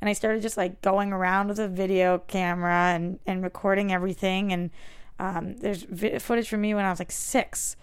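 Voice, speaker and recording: A woman with a raspy voice, chatting casually as a podcast guest and poking fun at herself. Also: mid-range voice, fairly even intonation, moderate pace. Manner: self-mocking, chatty. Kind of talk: casual chat.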